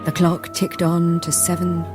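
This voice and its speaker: An actress playing a sober investigator, narrating a gothic radio drama in a grave, measured way. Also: female voice, on the low side, completely flat delivery, natural voice. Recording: music behind.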